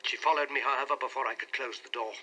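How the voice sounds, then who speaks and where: An anguished husband, played by a male reader, tells his story with earnest intensity. His voice is very sharp and forceful, roughened by a husky rasp. The delivery is dramatic and suspicious, in classic audiobook style. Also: medium pitch, steady tone, fast, rough recording. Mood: bitter, hurt. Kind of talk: dramatic audiobook reading in character.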